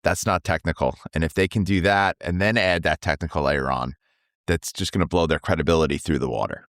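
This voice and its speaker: A man's voice: low voice, a little fast, a bit bright, no room sound, clean studio sound. A man co-hosting a sales podcast, talking in an emphatic, conversational way, with a slight cold. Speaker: co-host, sales trainer. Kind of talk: casual podcast chat.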